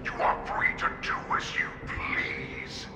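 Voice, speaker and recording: An actor playing a menacing, hulking male wolf-beast warlord in a game cutscene, speaking slowly and persuasively. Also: background noise, lightly acted. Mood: tempting, menacing. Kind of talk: game voice acting. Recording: roomy sound under loud orchestral score.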